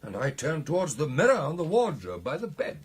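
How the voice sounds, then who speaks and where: An actor playing an excitable British gentleman recounting a ghostly encounter in a radio drama, with theatrical, animated delivery. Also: a little high, fairly quick, husky, heavily acted. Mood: excited recounting. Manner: theatrical, animated storytelling with exclamations and hesitations.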